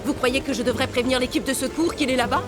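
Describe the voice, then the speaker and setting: A woman voicing a gentle, worried village lady in a French cartoon dub. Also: sits high, fairly even intonation, quick pace, music in the background, lightly acted.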